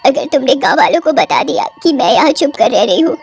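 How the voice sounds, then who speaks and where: A woman's voice: high voice, animated, brisk, a little mellow. A woman voicing a sorrowful pregnant witch, a young dead wife turned ghost, in a plaintive, dramatic cartoon style. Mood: sorrowful, fearful. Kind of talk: Hindi horror cartoon voice acting.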